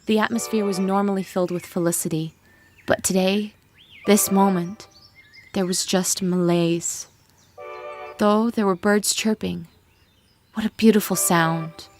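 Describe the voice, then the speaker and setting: A woman's voice: medium pitch, steady tone, unhurried, pauses often. A woman reading scripted narration for a dramatic fan fiction story, with music playing behind her. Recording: music bed with birdsong behind.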